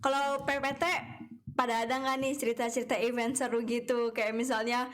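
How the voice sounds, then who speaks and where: A woman's voice: on the high side, little pitch movement. A young woman co-hosting a campus radio show, upbeat and agreeable, reading out listener prompts. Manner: upbeat, agreeable, quick to laugh. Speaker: radio host. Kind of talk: casual radio chat. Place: radio studio.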